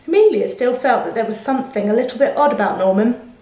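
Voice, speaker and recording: A woman reading a picture book aloud to children, expressive, with explanations and questions to the listener. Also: a little high, fast. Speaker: children's storyteller. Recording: echoey room.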